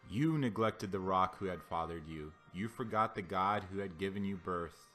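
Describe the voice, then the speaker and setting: A man with a lowish voice reads scripture aloud in a solemn style. His phrasing is deliberate and declamatory. Soft music plays behind him. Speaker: Bible reader. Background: background music.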